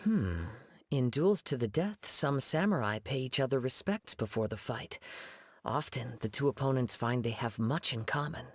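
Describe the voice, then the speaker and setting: Man playing a gentle, composed young samurai. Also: medium pitch, expressive, moderate pace, a little hiss or hum, close to an everyday voice. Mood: composed, polite. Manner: polite, composed, formal. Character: gentle, humble, poetic young male wandering samurai. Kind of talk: video game voice acting.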